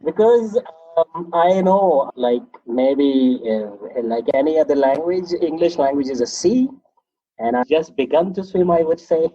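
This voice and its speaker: Husky, dark-voiced man. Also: a little high, lively intonation, measured pace, no room sound, muffled recording. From Pakistan. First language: Pashto. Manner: earnest, reflective, chuckles between points. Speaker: English teacher, guest. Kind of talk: interview answers.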